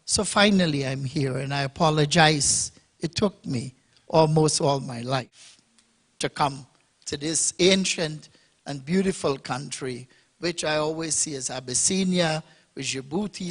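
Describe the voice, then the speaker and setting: Guyana-born male panelist, slightly high voice, expansive speechmaker. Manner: expansive, speechmaking, builds to emphatic points. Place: conference hall.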